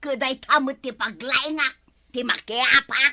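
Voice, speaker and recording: A woman playing a quarrelsome, hot-tempered village woman with an Ilocano accent in a broadcast comedy drama, given to scolding and drawn-out exclamations. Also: sits high, fairly even intonation, sharp tone, heavily acted. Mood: sulky, subdued.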